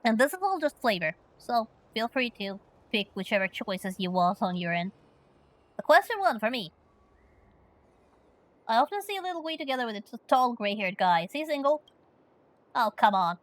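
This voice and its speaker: A woman's voice: fairly high pitch, sing-song, normal speed, pauses often, dry recording. A woman from Finland streaming a story game, chatting playfully and reading questions aloud.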